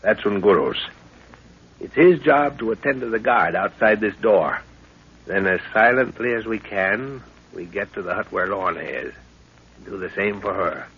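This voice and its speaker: Deep gravelly seasoned jungle adventurer. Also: male voice, a bit bright, heavily acted. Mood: instructing. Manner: measured, instructive, authoritative. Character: seasoned commanding jungle adventurer, fatherly, calm under danger. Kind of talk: 1930s radio drama acting. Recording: noisy dull 1930s radio recording.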